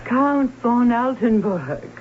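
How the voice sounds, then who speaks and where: A woman's voice: on the high side, animated, slow. An actress playing an eager, gracious noblewoman, earnest and emotional, in an old radio drama. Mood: eager, welcoming. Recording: dull, hissy vintage radio recording.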